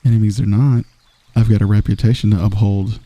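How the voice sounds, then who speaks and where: A man voicing a smug, flirtatious villain in a soft, intimate, teasing ASMR roleplay, close to the microphone. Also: lowish voice, fairly even intonation, fairly quick, no room sound, natural voice. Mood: cocky, flirtatious.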